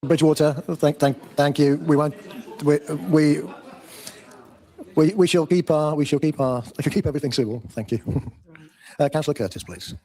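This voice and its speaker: A man's voice: a little high, moderate pace, dark tone. A man chairing a council meeting, formal, calling on speakers by title.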